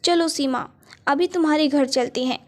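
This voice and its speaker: A woman voicing a kind but vengeful witch in a storybook, calm and firm. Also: high voice, normal speed, close to an everyday voice. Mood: reassuring.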